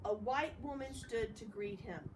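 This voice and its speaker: A woman's voice: a little high, unhurried. A woman reading a story aloud expressively, in the manner of a read-along with informal commentary. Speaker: storybook reader. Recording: distant mic with faint background noise.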